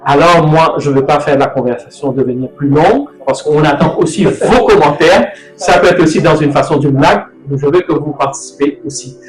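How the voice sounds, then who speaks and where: A man's voice: fairly high pitch, fairly quick, few pauses. A middle-aged man from Mauritius hosting a casual talk on food, rambling and correcting himself as he goes. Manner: rambling, loose, self-correcting. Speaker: host. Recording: echoey room with music. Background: soft music.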